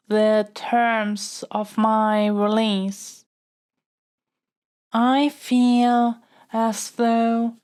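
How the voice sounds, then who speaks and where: Woman acting a slow, formal young princess. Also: medium pitch, a little mellow, smooth. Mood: regal, insistent. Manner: formal, stately, pleading diplomacy. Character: young royal princess, haughty yet smitten, kidnapped by dragon. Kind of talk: scripted audio roleplay acting.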